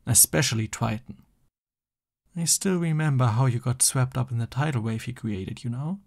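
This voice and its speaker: A man's voice: medium pitch, moderate pace, vocal fry, a little mellow. A man playing a young supervillain boyfriend in an ASMR roleplay, theatrical and boastful but easily flustered and affectionate. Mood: eager, boastful.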